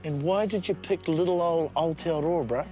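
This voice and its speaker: A man's voice: on the high side, moderate pace, warm tone, soft background music, low-quality recording. A man with a New Zealand accent hosting a travel show, talking in a jokey, friendly way with the people he meets. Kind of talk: casual chat and interviewing.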